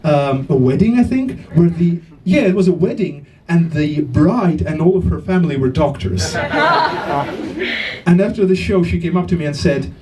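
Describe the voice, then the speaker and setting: A man's voice: a little high, expressive, a crowd in the background. Estonian man doing stand-up, slightly distant. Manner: halting delivery with many fillers and restarts. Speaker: stand-up comedian. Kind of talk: stand-up comedy set. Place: comedy club stage with live audience.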